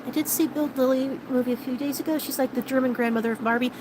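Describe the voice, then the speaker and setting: A woman's voice: high-pitched, fairly even intonation, quick pace, background noise. Chatty woman in her late thirties. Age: 30s. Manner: rambling, chatty, many fillers and restarts. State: starting to lose her voice. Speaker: vlogger. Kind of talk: casual chat with live viewers.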